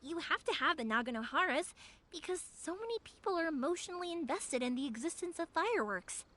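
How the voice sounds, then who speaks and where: A woman playing a cheerful, warm-hearted young firework maker in a video game, chatty and sincere, with a girlish voice. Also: extremely high pitch, animated, brisk, exaggerated voice. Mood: earnest, reflective. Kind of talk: video game character acting. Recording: music behind the voice.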